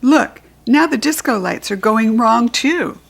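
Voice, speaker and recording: A woman's voice: on the high side, expressive, unhurried, gravelly, pitched above the performer's own voice, heavily acted. A woman voicing Rachel, a kind, curious young schoolgirl who speaks earnestly.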